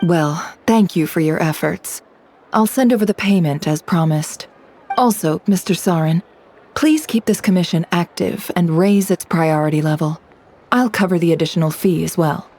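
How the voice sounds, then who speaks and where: A shrewd young woman of intrigue from a video game, voiced by an actress, speaking on the low side. She is composed, smooth and knowing, with faint music underneath. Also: normal speed, darkish tone, close to an everyday voice. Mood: composed, pleased. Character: poised, shrewd young woman running an intelligence business. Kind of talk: English video game voice acting.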